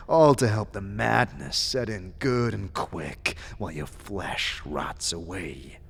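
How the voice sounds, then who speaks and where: A man's voice: sits low, slow, gravelly, a little hiss or hum, heavily acted. A man voicing a paranoid, mad earlier self of an immortal man in an audio drama, erratic between sly muttering and frantic outbursts. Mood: sly menace.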